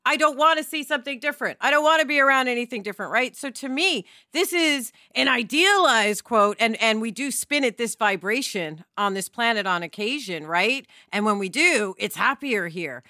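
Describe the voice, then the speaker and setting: A woman with a bright, forward voice co-hosts a podcast in a conversational style. Her pitch runs a little high, and the sound is dry and close. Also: expressive, normal speed, few pauses, dry recording. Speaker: podcast co-host.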